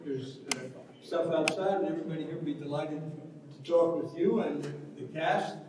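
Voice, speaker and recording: A man's voice: fairly high pitch, normal speed, crowd noise. An older man acting as event host, giving informal closing remarks to a gathering. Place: large echoing hall at a public event. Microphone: distant microphone. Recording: very echoey hall from far off, faint noise.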